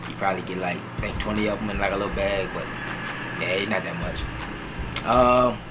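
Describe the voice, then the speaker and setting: A relaxed young man, speaking in a fairly low voice. He talks loosely and casually, circling back with "you know what I'm saying," while clear music plays under him. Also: a little fast, poor audio. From New Orleans, Louisiana. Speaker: vlogger answering viewer questions. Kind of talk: casual Q&A chat.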